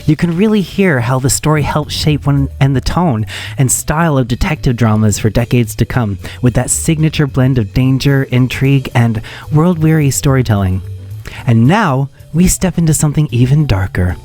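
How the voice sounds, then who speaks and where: A man's voice: medium pitch, expressive, normal speed, darkish tone. A man hosting a radio show, reading a scripted introduction. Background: music.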